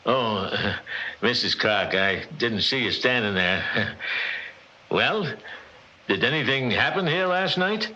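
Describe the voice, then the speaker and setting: A man's voice: mid-range voice, animated, slow, long pauses, pushed and bright, exaggerated voice. An actor in a 1960s radio drama playing an apologetic, prying building superintendent, hesitant and folksy, circling his questions. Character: nosy, apologetic building superintendent, a family man. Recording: dull old radio recording.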